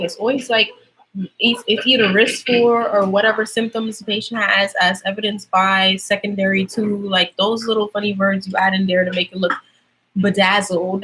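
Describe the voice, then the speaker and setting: A woman's voice: mid-range voice, fairly bright tone, husky, low-quality recording. A young woman with a Trinidadian accent, chatting casually on a livestream as a nursing student, explaining things with lots of fillers.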